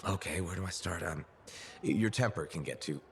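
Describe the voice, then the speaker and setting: Low male voice, confident young adventurer, dry and wry, game dialogue, ambient noise behind. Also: fairly quick, vocal fry, fairly bright tone. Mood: teasing. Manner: dry, wry, level-headed. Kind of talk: video game cutscene acting.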